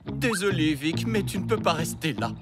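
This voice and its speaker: Very expressive, kindly cartoon pet owner. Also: female voice, lowish voice, moderate pace. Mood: apologetic. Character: kindly, placating adult woman who owns pets. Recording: loud cartoon music behind.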